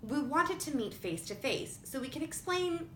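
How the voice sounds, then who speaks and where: A woman's voice: expressive, moderate pace. A woman voicing a sturdy, awkward young man in an audiobook story. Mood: awkward, explaining. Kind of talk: audiobook character dialogue. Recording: roomy echo with rumbling background noise.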